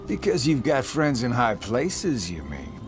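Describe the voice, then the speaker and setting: An actor playing a smug middle-aged villain in a video game, with a mocking, sarcastic drawl. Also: male voice, medium pitch, huge pitch swings, measured pace, soft background music. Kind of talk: video game cutscene acting.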